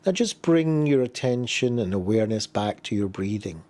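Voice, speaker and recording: A man leading a guided body scan meditation, giving gentle, unhurried instructions with pauses between phrases. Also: lowish voice, animated.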